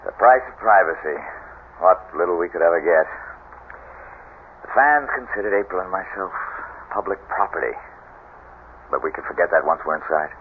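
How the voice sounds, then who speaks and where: A man acts out a famous, secretive husband in an old radio play, suave but controlling. His low voice is clipped and commanding, coming through a tinny broadcast. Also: normal speed, long pauses, natural voice. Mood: guarded. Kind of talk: 1970s radio drama acting. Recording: noisy, narrow-band old radio broadcast.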